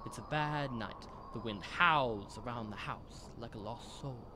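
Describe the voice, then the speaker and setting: A man's voice: mid-range voice, a little slow, background noise. A young man doing theatrical, salesmanlike announcing in the style of old-time radio. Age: young adult.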